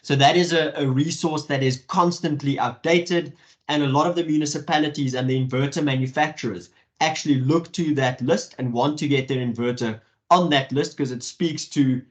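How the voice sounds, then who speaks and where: A man moderating an online webinar, speaking in a halting way with many fillers and restarts over a video call. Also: mid-range voice. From South Africa.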